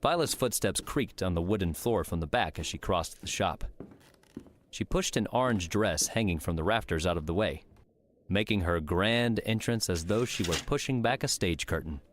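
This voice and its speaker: Low-voiced man narrating over background ambience. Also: animated, moderate pace, a little hiss or hum. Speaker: audiobook narrator. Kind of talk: scripted story narration.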